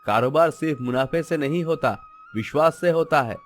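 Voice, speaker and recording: An honest young market seller played by a man in a cartoon moral tale. His voice is fairly high for a man, measured and earnest, with music behind it. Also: lightly acted. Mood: calm, earnest. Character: honest, principled young male vegetable seller. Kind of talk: Hindi moral-story cartoon acting.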